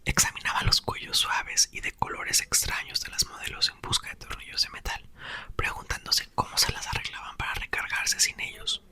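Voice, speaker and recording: A man narrates a storybook entirely in a whisper, in the style of an ASMR reading. The sound is breathy and bright, with sharp detail in each word. His delivery stays soft, intimate and expressive. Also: moderate pace. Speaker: ASMR creator reading a book aloud.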